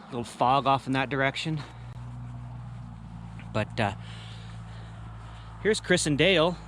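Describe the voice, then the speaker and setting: A man casually narrating an outdoor vlog in a halting, easygoing way. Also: medium pitch, lively intonation, fairly bright tone, a little hiss or hum. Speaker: vlog host. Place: outdoors in mountain country.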